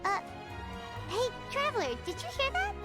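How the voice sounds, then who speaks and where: A woman voicing a tiny, excitable fairy girl companion in a game, bubbly and chatty, referring to herself by name. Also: extremely high pitch, moderate pace, faint music behind, heavily acted. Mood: chirpy.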